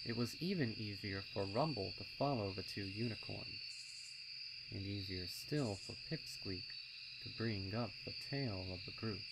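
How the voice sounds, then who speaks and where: A man's voice: lowish voice, a little slow, gravelly, very noisy. Man narrating a story over night sounds. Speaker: narrator reading fan fiction. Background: crickets, insects, owl sound effects.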